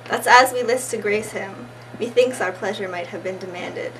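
A woman playing a scornful, bitter noblewoman in amateur Shakespeare, slow and disdainful, recorded far from the mic with noise. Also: medium pitch, fairly bright tone, lightly acted. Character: haughty, scornful royal noblewoman, jealous and bitter. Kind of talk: amateur Shakespearean stage acting.

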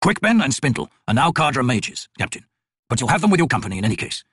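Grizzled veteran commander, played by a male narrator, gruff husky growl, measured authority, fantasy audiobook. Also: medium pitch, big pitch swings, fairly bright tone, no echo, studio quality.

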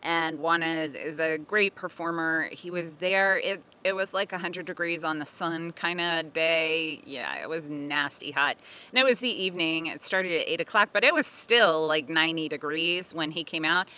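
A woman in her forties talks casually into a phone held at arm's length, with a bright, forward tone. She is chatty and animated, often laughing at herself. She is recording outdoors in a sunny park. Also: deep voice, moderate pace. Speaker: family budget vlogger. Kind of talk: casual vlog talk to camera.

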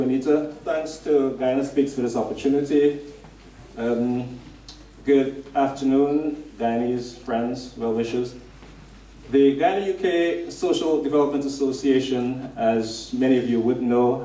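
A middle-aged man giving a measured, formal speech at a stand microphone in a community hall, with some restarts. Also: medium pitch, dark tone. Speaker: association representative presenting a donation. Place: decorated community hall, indoors. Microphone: stand mic. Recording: echoey hall, picked up from a distance.

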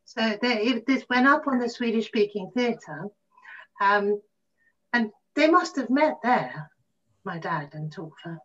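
A middle-aged woman reminiscing casually in an interview, telling stories in a halting, animated way. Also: a little high. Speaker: interview guest, actor. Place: room at home, on a video call. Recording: muffled video call audio.

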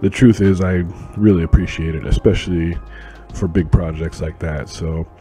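A man's voice: low voice, steady tone, moderate pace, dark tone. A man giving casual, conversational voice-over commentary as an illustrator hosting a drawing channel. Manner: informal, conversational. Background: music.

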